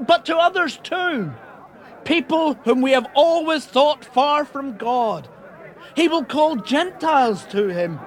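A man's voice: extremely high pitch, exaggerated voice. An actor playing the apostle Peter, a plain-spoken man preaching earnestly to a crowd in a radio drama. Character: Peter, bold fisherman apostle, middle-aged man.

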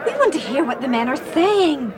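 An eager woman in a festival crowd, in a radio play. She hushes the people around her, eager to listen, with a somewhat high voice. Noise sits clearly in the background. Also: big pitch swings, moderate pace.

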